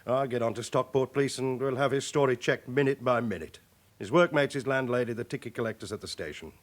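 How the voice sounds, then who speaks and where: An actor playing a cautious, middle-aged British police chief inspector in a radio drama, formal and measured. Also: male voice, medium pitch, lightly acted. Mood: stern, measured. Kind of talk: radio crime drama acting.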